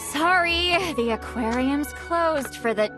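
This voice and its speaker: A woman's voice: high-pitched, heavily acted. A woman playing a proud, irritable and easily flustered young woman in a video game, huffy and exasperated. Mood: grumpy.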